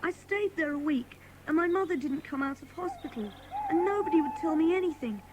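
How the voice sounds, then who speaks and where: Woman playing a high-voiced, plaintive runaway boy. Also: animated, fairly quick, heavy background noise. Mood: plaintive, confiding. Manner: plaintive, guarded. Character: frightened, defiant runaway young boy with a kitten. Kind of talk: children's audio drama.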